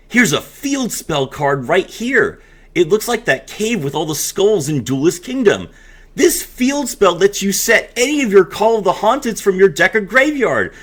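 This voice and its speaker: A man hosting a card game video, mixing casual commentary with theatrical, emphatic reading aloud. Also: sits high.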